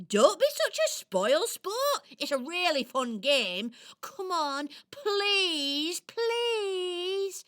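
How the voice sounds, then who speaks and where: A woman's voice: very high-pitched, very animated, extremely slow, fairly bright tone, exaggerated voice. A woman voicing a playful, boastful female squirrel in a children's radio play, with a giggly, exuberant, theatrical manner. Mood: pleading.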